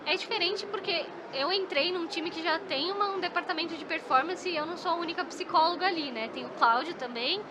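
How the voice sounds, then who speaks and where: A woman's voice: very high-pitched, quick pace, few pauses, background noise. A Brazilian woman, an esports psychologist, chatting as a podcast guest and often tagging her sentences with little questions. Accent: Brazilian Portuguese. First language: Portuguese. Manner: chatty, frequent "né?" tag questions. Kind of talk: casual podcast conversation.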